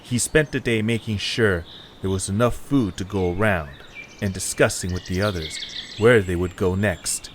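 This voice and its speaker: A male narrator with a somewhat low voice, telling the story in a calm, even, scripted delivery. Faint background noise sits under the voice. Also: normal speed.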